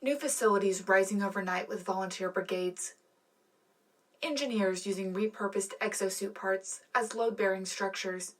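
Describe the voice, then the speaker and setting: A woman's voice: medium pitch, normal speed, natural voice, rough recording. A woman playing an earnest, patriotic wartime radio co-anchor, reading scripted news in a sincere, dramatic way. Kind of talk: scripted newscast narration.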